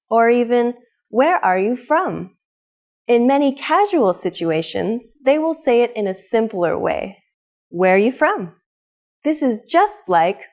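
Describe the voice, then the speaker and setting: Woman, English teacher; upbeat, unhurried, very expressive pitch. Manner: clear, upbeat, slow modeling of example phrases. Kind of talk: scripted language lesson.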